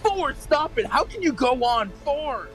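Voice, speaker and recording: A woman playing an anguished, fearful prisoner under torture in a radio drama, with halting, pleading, broken delivery. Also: high voice, sing-song, soft background music. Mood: agonised, pleading. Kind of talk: theatrical radio drama acting.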